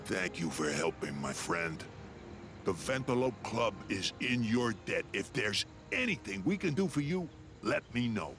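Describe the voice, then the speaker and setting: An actor playing a suspicious, moody alien club leader in a video game, speaking in a guarded, grave manner. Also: male voice, fairly low pitch, big pitch swings, measured pace, over music. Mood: relieved. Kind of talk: video game cutscene acting.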